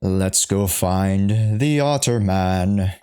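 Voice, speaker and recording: Low-voiced man rambling on a game stream. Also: extremely slow, dark tone, clear, resonant tone. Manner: rambling, reads game dialogue aloud along with it. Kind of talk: casual live-stream commentary. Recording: game music behind the voice. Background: game music and dialogue.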